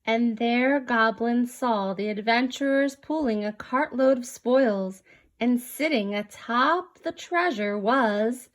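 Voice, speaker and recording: Storybook reader: woman, high voice, slow pace, expressive narration for children.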